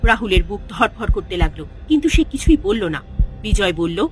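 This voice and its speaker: Expressive woman narrator with lively pitch. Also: normal speed. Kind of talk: scripted horror story narration. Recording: music under the voice.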